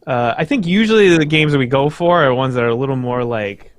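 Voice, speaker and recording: A young man in casual chat on a livestream, rambling and halting with fillers. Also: mid-range voice, animated, no echo. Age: young adult. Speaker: livestream co-host.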